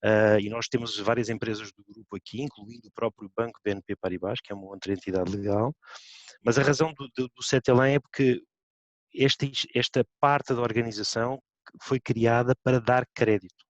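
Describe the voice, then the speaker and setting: A middle-aged man from Portugal with a European Portuguese accent, speaking as a bank executive on an online panel and pausing on many fillers. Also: mid-range voice, fairly even intonation, dry recording, dull audio. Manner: hesitant, many fillers and restarts. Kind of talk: panel discussion. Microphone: video call.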